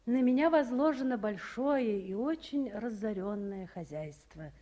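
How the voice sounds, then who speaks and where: Slow-spoken, proud middle-aged woman. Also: a little high, expressive, mellow, lo-fi sound. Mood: reserved, dignified. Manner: measured, pointed, with meaningful pauses. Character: proud, weary middle-aged woman town official, gently ironic. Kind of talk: mid-century Soviet radio play acting.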